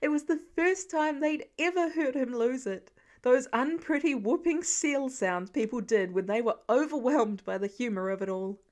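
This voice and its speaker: A woman's voice: high-pitched, expressive, normal speed, a little mellow. A woman narrator reading a scripted piece of fiction as an audiobook.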